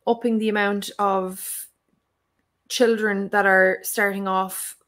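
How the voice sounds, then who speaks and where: A woman's voice: medium pitch, flat delivery, slow pace, fairly bright tone. A young Irish woman, a language teacher, giving a thoughtful, earnest answer with many fillers in a live stream Q&A. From Ireland.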